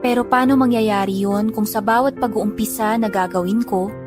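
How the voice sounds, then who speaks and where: Actress as long-suffering mother, medium-pitched, earnest, sorrowful dramatic narration, clear music bed. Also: steady tone, moderate pace, natural voice. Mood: sorrowful, resolute. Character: long-suffering mother narrating her own hard life.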